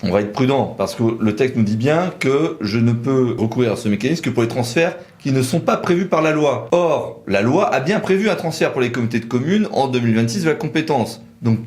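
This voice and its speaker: A man's voice: medium pitch, expressive, brisk, hardly any pauses, a little mellow. A man presenting a conversational legal explainer as a lawyer, reasoning methodically and setting out nuances point by point.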